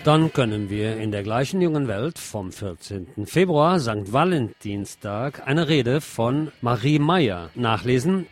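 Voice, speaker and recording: A man hosting a program, reading press articles aloud and adding brief comments of his own. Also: mid-range voice.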